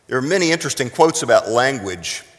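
A middle-aged American man from South Texas preaching a sermon deliberately, with dramatic emphasis and pauses. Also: mid-range voice, expressive, a bit bright. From South Texas, United States. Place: large reverberant room, speaking to a congregation. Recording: echoing hall with long reverb.

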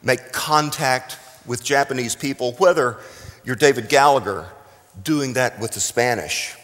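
A middle-aged American man from South Texas preaching a sermon deliberately, with dramatic emphasis and pauses. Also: mid-range voice, fairly bright tone. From South Texas, United States. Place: large reverberant room, speaking to a congregation. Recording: echoing hall with long reverb.

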